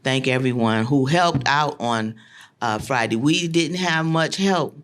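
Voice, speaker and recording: Gravelly man giving informal remarks. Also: medium pitch, measured pace, a bit bright. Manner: informal, rambling with fillers. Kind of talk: public comment at council meeting. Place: city council chamber.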